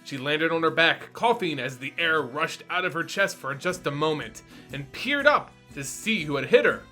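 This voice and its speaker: A man hosting and narrating a fantasy story in a theatrical, expressive scripted reading. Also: on the high side, moderate pace. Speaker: host and storyteller. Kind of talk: dramatic scripted narration with channel intro. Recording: music under the voice. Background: background music.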